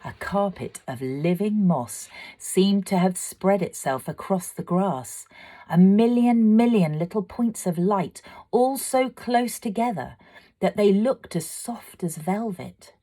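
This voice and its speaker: A woman narrating a children's storybook aloud, with expressive, dramatic delivery. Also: medium pitch, takes it slowly. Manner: expressive, dramatic, swelling for excitement and hushing for suspense. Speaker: amateur storyteller.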